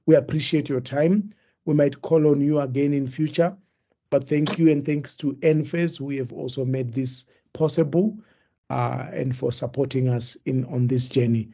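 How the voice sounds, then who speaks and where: A man, the association's chairperson, giving measured closing remarks and thanks on a webinar over a video call. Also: medium pitch, steady tone, gravelly, warm tone.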